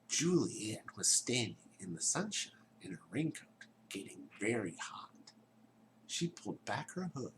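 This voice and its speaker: A man reading a children's story aloud in a theatrical way, dropping into hushed tones and chatting to his young listeners. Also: lowish voice, big pitch swings, takes it slowly, pauses often, slight background noise, low-quality recording.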